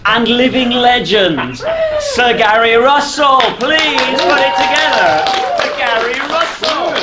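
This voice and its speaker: A man's voice: very high-pitched, lively intonation, takes it slowly, over a noisy crowd. A middle-aged man hosting a live convention panel with casual, bantering talk. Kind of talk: casual, bantering stage interview. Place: on stage at a convention. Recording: echoey live hall, faint noise.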